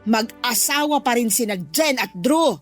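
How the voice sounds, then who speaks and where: A woman's voice: a little high, lively intonation, unhurried, faint music behind. A woman playing a spiteful, possessive older mother in a Filipino radio drama, taunting and accusing. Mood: indignant, scolding.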